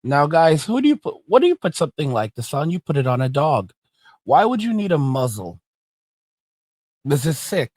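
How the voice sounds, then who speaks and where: A man's voice: fairly high pitch, a little mellow, no echo. Ranting male commentary host. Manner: indignant, ranting. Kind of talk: reaction commentary.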